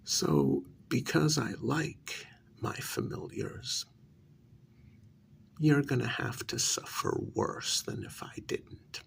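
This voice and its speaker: Man acting a gravelly, menacing high-society vampire. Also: very animated, exaggerated voice. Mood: stern, threatening. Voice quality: gravelly, creaky. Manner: menacing, mock-sympathetic, drawn-out, often dropping to a whisper. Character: cruel, haughty male high-society vampire taunting a captive. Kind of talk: ASMR role-play, intimate dramatic monologue.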